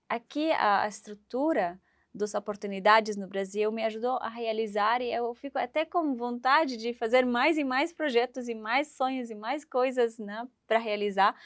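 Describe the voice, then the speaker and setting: A woman in her thirties from Syria, speaking in a fairly high voice. She tells her story with animation, acting out the voices of the people in it. The sound is dry and close. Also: dry recording. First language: Arabic. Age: 30s. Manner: animated, acts out quoted voices, laughs while telling. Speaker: guest. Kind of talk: storytelling interview.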